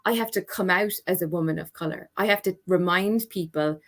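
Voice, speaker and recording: A woman with an Irish accent, a guest on a panel chat over a video call, speaking in a reflective, measured way. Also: fairly low pitch. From Ireland.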